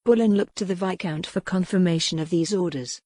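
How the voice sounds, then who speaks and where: A woman narrating a novel in an even, measured storytelling manner, recorded close to a studio mic. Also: on the low side, breathy edge, no room sound. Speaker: audiobook narrator.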